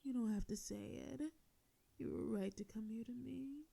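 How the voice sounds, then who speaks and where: A woman's voice: on the high side, creaky, mellow, breathy edge, full character voice. A woman playing a sardonic telepathic supervillain in a scripted audio drama, with a theatrical, teasing way of drawing out her words. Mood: dawning sympathy. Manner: theatrical, taunting, drawn-out words. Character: sardonic female telepathic supervillain, menacing yet softening.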